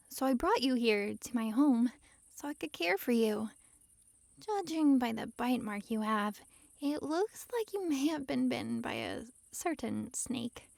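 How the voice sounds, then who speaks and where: Woman as young kitsune girl for fantasy ASMR roleplay: coy, doting, coaxing, slow delivery, very dry recording. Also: high voice, dry recording, heavily acted. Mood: gentle concern. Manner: soft, breathy sighs and giggles, coaxing, hesitant asides. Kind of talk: intimate ASMR roleplay acting.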